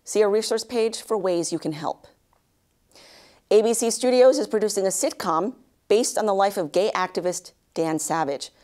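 A woman in her thirties anchoring a news bulletin, reading a script with measured, even delivery in a studio. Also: medium pitch. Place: studio news set, indoors.

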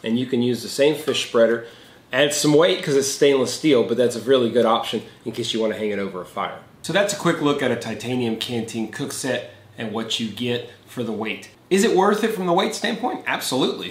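A man explains outdoor gear to the camera, earnest and straightforward. His voice sits at a middle pitch. The echo of a bare gear room surrounds it. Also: expressive, brisk. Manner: earnest, plain explaining with hand gestures. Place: indoor gear room with shelves. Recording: echoey room.

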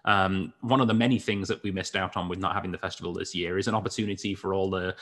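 A man's voice: low-pitched, fairly even intonation, quick pace, a bit bright. Jokey male whisky show host. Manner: jokey, chatty, laughs easily. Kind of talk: casual interview chat. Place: indoors, home room with framed pictures. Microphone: studio microphone on desk.

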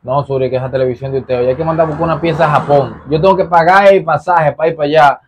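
Young guy, rapid-fire pleading excuses, slightly high voice, porch sketch. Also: fairly even intonation. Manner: fast, pleading, excuse-making. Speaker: repairman in a sketch. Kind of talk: scripted comedy sketch. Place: outdoor porch of a rural house.

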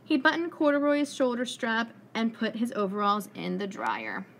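High-voiced woman reading aloud, noisy room. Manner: expressive storytelling, pausing to ask the children questions. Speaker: preschool teacher. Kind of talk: read-aloud of a picture book to young children. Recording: clearly noisy room recording.

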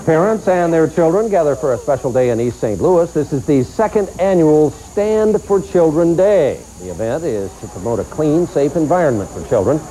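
American male news anchor, animated intonation, slightly high and relaxed, faint tape hiss. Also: moderate pace, few pauses, low-quality recording. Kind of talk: scripted news narration. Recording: hissy 1990s VHS TV recording.

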